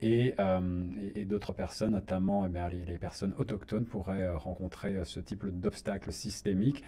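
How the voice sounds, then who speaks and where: Low, mellow-voiced male radio host. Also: expressive. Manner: measured, formal, pauses often to search for words. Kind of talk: radio talk show hosting. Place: radio studio.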